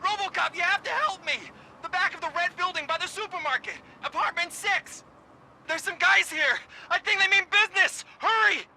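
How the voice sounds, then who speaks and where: A man's voice: extremely high pitch, moderate pace, gravelly, pushed and bright, a little hiss or hum, full character voice. A man playing a jumpy, irritable street drug dealer in a video game, brash and agitated in his talk. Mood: panicked.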